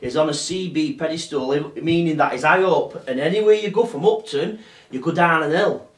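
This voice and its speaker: A man's voice: fairly high pitch, moderate pace, recorded from a distance. A chatty man with a slightly raised voice, asking questions and finishing the other speaker's sentences. He sits well back from the mic in an echoey room. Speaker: interviewer. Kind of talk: casual interview.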